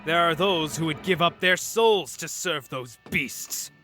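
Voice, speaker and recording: A man's voice: fairly high pitch, a little slow, sharp tone. A man playing an earnest, vengeful Viking dragon hunter in a game fan dub, solemn and literal-minded. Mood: earnest, determined. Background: music.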